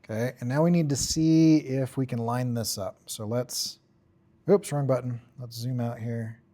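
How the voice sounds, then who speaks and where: A man giving an informal software tutorial, thinking aloud in short, offhand fragments as he works. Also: mid-range voice, fairly even intonation, a little slow. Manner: offhand, talks in short fragments while working. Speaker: tutorial instructor.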